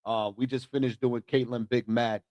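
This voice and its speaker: Male host, mid pitch, upbeat, dry sound. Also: little pitch movement, normal speed, no echo, lo-fi sound. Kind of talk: casual live review show hosting.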